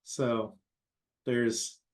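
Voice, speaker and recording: A man player speaking casually in a thoughtful, measured way. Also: mid-range voice, little pitch movement. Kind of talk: casual chat.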